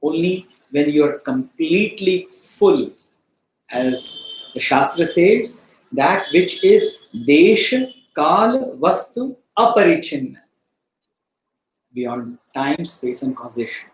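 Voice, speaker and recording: A man teaching philosophy, explaining deliberately in short, emphatic phrases with pauses. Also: fairly high pitch, expressive. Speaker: spiritual study teacher. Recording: echoey, roomy sound.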